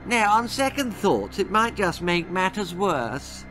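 A sly schoolboy cheat purrs fake charm and mocking lines, as a man reads him. His pitch rises and dips with lively swings, and faint music plays underneath. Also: a little slow, exaggerated voice. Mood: smug. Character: sly scheming schoolboy rival, smug and spiteful.

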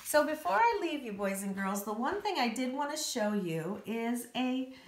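A woman's voice: fairly high pitch, very animated, moderate pace, slight background noise. A woman, an elementary school teacher, reading a children's nonfiction book aloud with careful phrasing for young listeners. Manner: careful, clearly phrased read-aloud delivery with emphasis for children. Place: indoors at home, hard-surfaced kitchen. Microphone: handheld phone. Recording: echoey room, handheld home recording.

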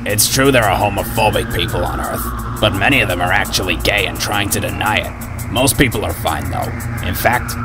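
A man's voice: deep voice, normal speed, over music, close to an everyday voice. A man voicing a snarky, foul-mouthed ginger schoolboy gunslinger in a video game, cocky and slangy yet caring. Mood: casual, conciliatory. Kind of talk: video game character voice acting.

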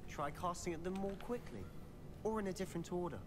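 A woman voicing a calm, helpful young student wizard in a video game. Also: lowish voice, quick pace, close to an everyday voice. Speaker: game companion character. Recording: game audio under gameplay noise.

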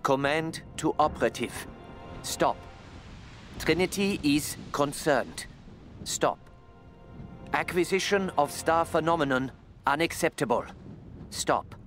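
A cold spy dispatcher reads a clipped game telegram over faint music. His voice is raised in pitch and very slow, wholly impersonal. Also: a bit bright. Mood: cold command. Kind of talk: dramatic game voice acting, read telegram.

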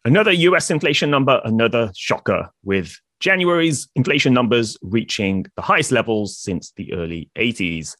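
Lively male podcast host, dry sound. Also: medium pitch, dry recording. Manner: lively, promotional delivery. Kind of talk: scripted intro, then casual interview. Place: indoor room, plain wall. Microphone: video call.

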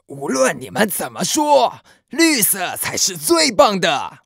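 A man's voice: high-pitched, wildly swooping pitch, slow pace, gravelly, fairly bright tone, breathy edge, no room sound, studio quality, heavily acted. A man playing an opinionated, jolly old grandfather shark in a children's cartoon, emphatic, with a Taiwanese Mandarin accent. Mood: jolly, insistent. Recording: music behind.

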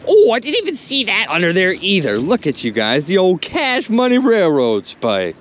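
A man narrating his magnet fishing trip outdoors by a river, chatty and excitable, constantly talking to his viewers. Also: high voice, moderate pace, slight background noise. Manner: excitable, chatty, keeps addressing viewers as "you guys". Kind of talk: excited outdoor vlog commentary.

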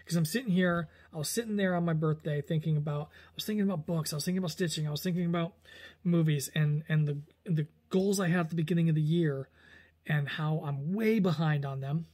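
A man's voice: fairly high pitch, fairly quick. A man in his forties chatting casually to camera at home, rambling with frequent restarts and self-corrections. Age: 40s. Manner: rambling, animated, lots of restarts and hand gestures. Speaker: vlogger. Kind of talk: casual talking-head vlog. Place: small room indoors at home.

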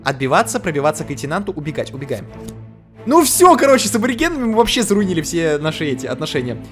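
A man's voice: fairly high pitch, very animated, rapid-fire. A man hosting a let's play, reading the game text aloud and reacting in loose slang. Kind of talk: casual gameplay commentary. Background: game music.

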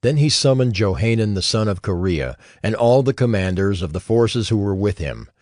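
A man narrating a dramatized audio Bible in a measured, formal storytelling manner. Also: fairly low pitch, expressive, a little mellow, no echo, studio quality.

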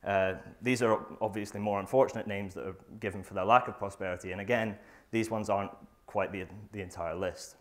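A young man gives a research talk to an audience in a lecture theatre, speaking into a lapel mic. His voice sits a little low, and he reads from his notes, halting often on fillers and restarting phrases. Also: steady tone. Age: young adult. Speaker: student presenter. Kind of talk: academic conference talk.

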